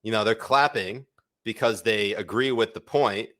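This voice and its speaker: A man's voice: fairly low pitch, moderate pace, a bit bright, no room sound, clean studio sound. A man co-hosting a podcast, chatting casually and irreverently about the news with crude jokes. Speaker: podcast co-host. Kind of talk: casual comedic news chat.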